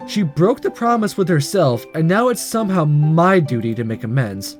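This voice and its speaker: A man hosting a video, reading a visual novel aloud in an expressive narrating style. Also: on the high side, moderate pace, faint music behind.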